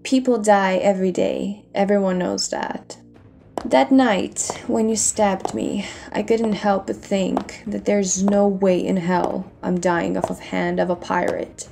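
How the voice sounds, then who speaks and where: A woman performs a vengeful villainess in a pirate audio roleplay. She is smug, cold and theatrical, taking her time in a dark, mellow voice with a gravelly creak. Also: medium pitch, steady tone, faint music behind. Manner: taunting, theatrical, measured. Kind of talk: dramatic audio roleplay acting.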